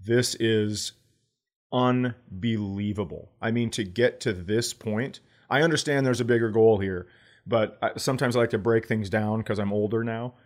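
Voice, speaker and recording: A man's voice: lowish voice, normal speed. A middle-aged man, a comedian co-hosting a podcast, chatting casually with emphatic, sweary phrasing. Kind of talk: casual conversational banter.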